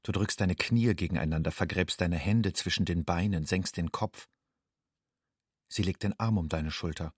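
Haunted secretive young migrant guy, radio play monologue, husky and dry, terse inward phrases. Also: low voice, no echo. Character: haunted, secretive young undocumented man addressing himself as you. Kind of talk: literary second-person monologue in a radio play.

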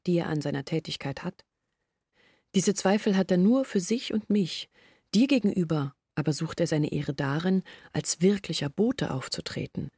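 A woman's voice: on the low side, mellow, slightly rough, dry recording, clean studio sound. An actress playing a troubled young woman who earnestly recounts her family's long hardship in a literary radio drama. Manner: earnest, reflective storytelling in long sentences. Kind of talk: German literary radio drama acting, monologue.

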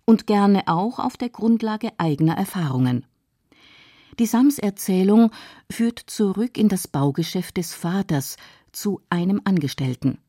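A woman's voice: medium pitch, lively intonation, unhurried, mellow, no room sound, studio quality. A woman reading scripted narration for a radio feature.

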